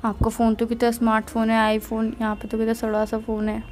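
A woman's voice: on the high side, completely flat delivery, a little fast, a little mellow, slight background noise. A young woman with an Indian accent chatting casually on a phone call, playful and a little defensive with her partner. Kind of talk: casual intimate phone chat. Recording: phone call recording, faint music.

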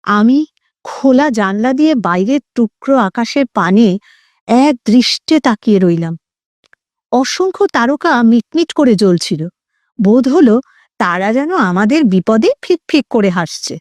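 Female storyteller for horror audio fiction: very high voice, slow suspenseful pacing, theatrical and drawn-out phrasing. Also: animated, darkish tone, dry recording. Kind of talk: dramatic scripted horror story narration.

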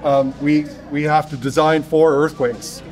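A man in his fifties with a Northern Californian accent explains construction details step by step, in an even, technical way. His voice sits a touch higher than most men's. He is recorded outdoors on a job site with a clip-on mic. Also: unhurried, soft background music. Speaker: builder. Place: outdoor construction site by a basement form wall.

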